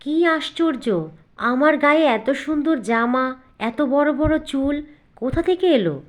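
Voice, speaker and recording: A woman's voice: high-pitched, moderate pace, natural voice. A woman playing a wronged, simple young village stepdaughter who tells her tale earnestly and plaintively in a cartoon fairy tale. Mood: amazed. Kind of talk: storybook narration and cartoon dialogue.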